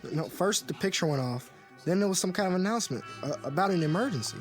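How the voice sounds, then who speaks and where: A man's voice: high-pitched, animated, fast, soft background music. An American male actor playing an earnest, dutiful young son in a radio drama. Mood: hesitant.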